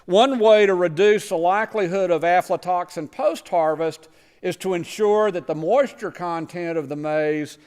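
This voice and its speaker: A man with a high, smooth and resonant voice explains things plainly and sometimes stumbles over words. His talk rings with a long reverb through a church sanctuary. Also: normal speed, darkish tone. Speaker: missionary agriculture lecturer. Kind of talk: church presentation with slides.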